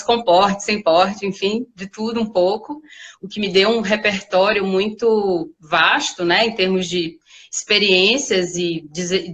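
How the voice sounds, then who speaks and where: A woman in her forties with a Bahian accent, introducing herself in a relaxed talk with playful asides. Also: mid-range voice. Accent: Bahian Portuguese. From Salvador, Bahia, Brazil. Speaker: guest speaker. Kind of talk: casual presentation talk.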